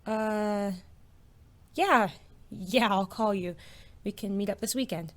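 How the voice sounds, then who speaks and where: A woman's voice: mid-range voice, takes it slowly, close to an everyday voice. A woman playing an anxious, self-doubting teenage student in an audio drama, with a hesitant, awkward, wry manner. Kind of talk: audio drama acting with first-person narration.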